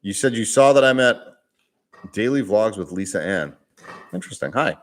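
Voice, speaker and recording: A man's voice: lowish voice, animated, moderate pace. Chatty man with a Boston accent. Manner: chatty, laughs often, many restarts. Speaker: vlogger hosting a live Q&A. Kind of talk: casual live-stream chat reading comments.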